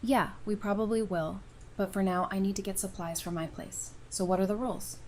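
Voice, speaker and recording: A woman's voice: mid-range voice, fairly even intonation, fairly quick, darkish tone, lightly acted. A woman plays a seasoned female survivor in an apocalypse audio drama, with a mid-range voice. She talks casually, with dry, affectionate teasing. There is audible ambient noise behind her. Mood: practical. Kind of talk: audio drama acting, naturalistic. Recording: noticeable background noise and ambience.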